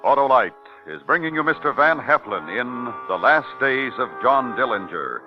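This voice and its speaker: A man's voice: medium pitch, big pitch swings, moderate pace. A man announcing a radio drama in a formal, theatrical, scripted 1950s style. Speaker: show announcer. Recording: dull 1950s radio broadcast recording. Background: music.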